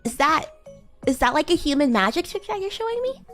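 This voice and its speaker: A woman streamer plays a young female demon class president with a high, animated voice. She is bratty and smug, teasing and talking down in improvised roleplay, with music playing behind her. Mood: curious, condescending. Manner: teasing, condescending, giggly, halting improvisation. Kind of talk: improvised in-character livestream roleplay.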